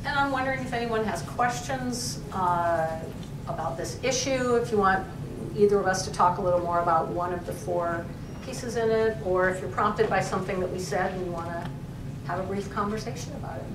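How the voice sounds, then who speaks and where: A woman's voice: medium pitch, fairly quick. Woman, professor, audience Q&A, echoey room, heard from afar. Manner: thoughtful, with frequent fillers. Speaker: professor and co-editor. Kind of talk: library talk, answering questions. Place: library event room. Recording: echoey room, distant mic, noisy.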